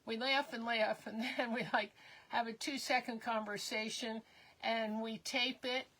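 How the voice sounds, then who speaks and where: Older woman, hoarse, chatty. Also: fairly high pitch, steady tone, unhurried, sharp tone, rough recording. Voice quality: slightly hoarse. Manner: chatty, rambling with many ums. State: losing her voice. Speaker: co-host of a live crafting stream. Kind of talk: casual live-stream chat.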